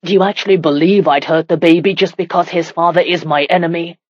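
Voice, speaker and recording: A woman voicing a commanding, protective medieval warrior husband in a romance audiobook, firm, measured and often exasperated. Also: lowish voice, fairly even intonation, dry recording, lo-fi sound, natural voice. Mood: angry.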